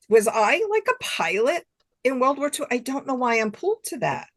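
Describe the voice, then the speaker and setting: A woman's voice: sits high, very animated, measured pace. A middle-aged or older woman chatting casually as an interview guest, effusive and enthusiastic. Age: middle-aged or older, has grandchildren. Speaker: guest author.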